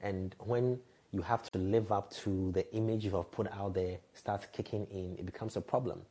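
Man, 30s, low warm voice; reflective, frank guest telling stories. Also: steady tone, moderate pace. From Ghana. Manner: reflective, frank, laughs lightly at himself. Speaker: podcast guest, radio and TV presenter. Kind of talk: candid conversational storytelling.

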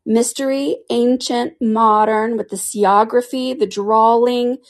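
A woman's voice: on the high side, fairly even intonation, pitched above the performer's own voice. A woman voicing a sorrowful male Mock Turtle in a storybook reading, plaintive and drawn out. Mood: mournful. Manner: weepy, plaintive, drawn-out delivery.

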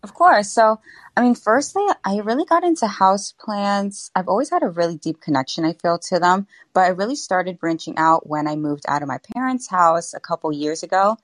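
A woman's voice: medium pitch, animated, no room sound. A young woman guest on a casual podcast, bubbly and talkative, saying "like" often. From Florida. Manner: bubbly, lots of "like" and quick asides. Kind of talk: casual interview chat.

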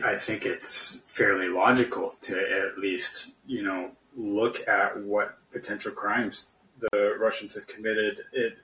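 Distant and roomy, this is a man giving his views as a guest in a political discussion. He speaks slowly and haltingly, often searching for the next word. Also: on the low side, a bit bright. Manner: halting, hesitant, searching for words. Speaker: podcast guest. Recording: roomy, echoey, distant from the mic.

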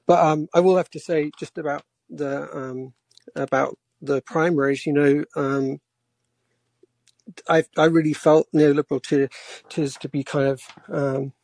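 A man in his forties from England, a quiet-voiced caller talking casually and haltingly about politics. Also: fairly high pitch, steady tone, vocal fry, no echo. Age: 40s. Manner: hesitant, halting.

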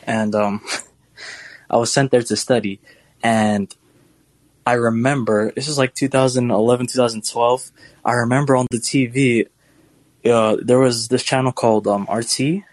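A man's voice: medium pitch, steady tone, moderate pace, pauses often, dry recording. Chatty young man calling in. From United States. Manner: rambling, chatty, laughs while telling stories. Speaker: caller. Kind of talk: casual call-in question.

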